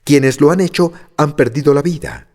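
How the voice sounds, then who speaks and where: A man's voice: a little high, moderate pace, a little mellow, voice pushed up, close to an everyday voice. A man voicing a small fairy-tale villager who speaks solemnly.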